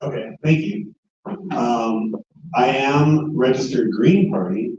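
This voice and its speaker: A man running for district attorney, answering at a forum in a quick argumentative way with many fillers. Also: mid-range voice, darkish tone, a little hiss or hum. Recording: echoey hall, distant muffled mic.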